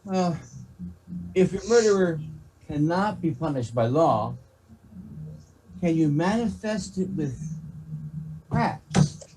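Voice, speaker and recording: A man's voice: a little high, lively intonation, takes it slowly, pauses often, noisy background. A man playing an earnest nineteenth-century neighbor questioning a spirit in an amateur stage play reading. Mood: solemn.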